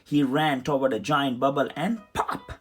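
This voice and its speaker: Slightly husky man reading a children's story. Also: medium pitch, fairly even intonation, normal speed. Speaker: storybook reader. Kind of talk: children's story read-aloud. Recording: music behind the voice. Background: music.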